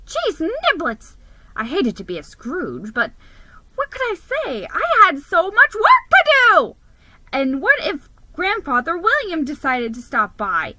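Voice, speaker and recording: A woman as an excitable, anxious male storybook mouse. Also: extremely high pitch, takes it slowly, a little hiss or hum, pitched above the performer's own voice, heavily acted, poor audio. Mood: exasperated. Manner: stammering, exclamatory.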